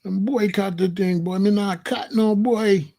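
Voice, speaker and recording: A swaggering, no-nonsense rasta bad man brags in a put-on Jamaican patois, as a man acts him out. The voice sits high with a gravelly edge. He piles up tough-guy boasts and repeated tags. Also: steady tone, a little slow, dark tone. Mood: boastful. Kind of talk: comic impression.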